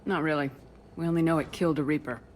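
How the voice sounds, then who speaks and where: A woman's voice: low-pitched, steady tone, fast, a little hiss or hum, natural voice. An actress playing a resolute female military commander in a sci-fi video game, direct and businesslike. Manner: direct, decisive, no-nonsense.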